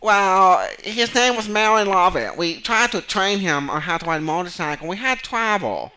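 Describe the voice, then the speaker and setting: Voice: medium pitch, normal speed, rough recording. A performer playing an exasperated male motorcycle instructor who speaks broken English, in a comedy sketch interview. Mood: earnest. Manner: broken English with dropped articles, excitable.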